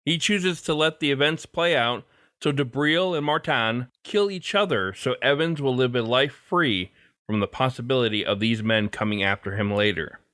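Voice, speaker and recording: A man's voice: medium pitch, moderate pace, bright, forceful tone, no room sound, studio quality. A man hosting a podcast, reading scripted commentary and announcements. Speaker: podcast host. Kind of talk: scripted podcast narration and sign-off. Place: dry close recording, faint music behind.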